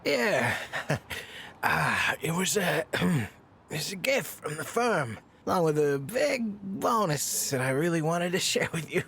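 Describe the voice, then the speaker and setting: Actor doing a sleazy self-important guy flirting, slow and swoopy, audio drama, bit of noise. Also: mid-range voice, sing-song, creaky, fairly bright tone, exaggerated voice. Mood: eager. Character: smug, sleazy, flirty male client, self-important finance type.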